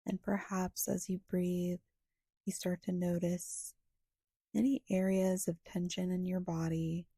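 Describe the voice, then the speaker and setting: A woman guiding a meditation in a soft, breathy, hushed and soothing voice, leaving long pauses between short phrases. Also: on the low side, little pitch movement, dry recording, clean studio sound. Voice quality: soft, breathy, often slipping toward a whisper. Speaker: guided meditation guide. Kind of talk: guided meditation narration.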